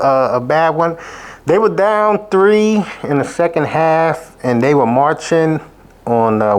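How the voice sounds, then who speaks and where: A hoarse man, sick, hosting a casual college football betting recap, rambling with many fillers and restarts. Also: mid-range voice. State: hoarse from illness. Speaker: sports betting show host. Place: abroad, indoors.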